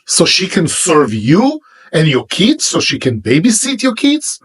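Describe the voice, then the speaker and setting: A man with an Israeli accent, a native Hebrew speaker, preaching about prayer in an emphatic monologue with pauses between phrases. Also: on the high side, wildly swooping pitch, husky. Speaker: religious teacher. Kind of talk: monologue sermon.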